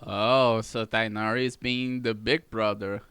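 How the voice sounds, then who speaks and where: A man's voice: lowish voice, steady tone, a little slow, a bit bright, clean tone, dry recording. A man giving casual, playful let's-play commentary on a video game, often repeating himself. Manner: repetitive, playful. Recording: game music behind the voice.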